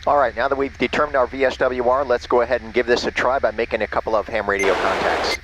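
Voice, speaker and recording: A man's voice: medium pitch, fairly even intonation, fast. A man narrating a flight casually and making amateur radio calls as a pilot from a small airplane cockpit. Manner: spells out callsigns in phonetic alphabet. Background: faint vehicle engine noise.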